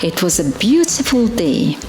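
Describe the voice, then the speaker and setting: A woman reading a children's rhyming story aloud in an expressive, sing-song storytelling manner. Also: on the low side, moderate pace, echoey room, over music, poor audio. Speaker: storybook reader.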